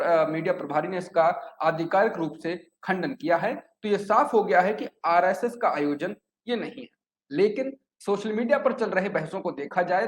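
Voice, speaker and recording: A man presenting a scripted news report in an explanatory way, occasionally stumbling over words. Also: high voice. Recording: echoey room with clear background noise.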